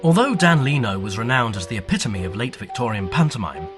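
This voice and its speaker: Man narrating with lively intonation. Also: mid-range voice, a little fast, loud music behind the voice. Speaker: documentary narrator. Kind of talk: scripted narration.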